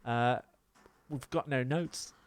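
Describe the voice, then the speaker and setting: A man co-hosts a casual podcast with a dry sense of humour. He rambles and jokes along at a middling pitch, in an offhand conversational way. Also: slow, clear, resonant tone. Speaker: podcast host.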